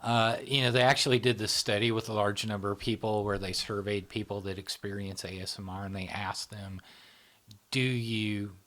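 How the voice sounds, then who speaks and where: A man's voice: on the low side, steady tone, normal speed, a bit bright. A man in his fifties who hosts a casual psychology podcast chat, explaining things in small steps and checking in with "right?". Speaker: podcast host.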